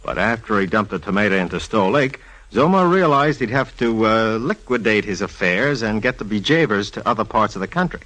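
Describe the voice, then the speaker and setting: A man's voice: fairly low pitch, animated, a little fast, a bit bright, low-quality recording. An actor playing an exasperated, teasing male police lieutenant with slangy, sardonic talk in an old radio drama. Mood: explaining. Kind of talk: 1940s radio drama acting. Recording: vintage radio recording.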